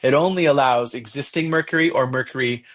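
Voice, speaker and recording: Halting, thoughtful man with a Mexican accent. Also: medium pitch, a bit bright. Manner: halting, many fillers and restarts, thinking aloud. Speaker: podcast co-host. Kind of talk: casual conversational discussion.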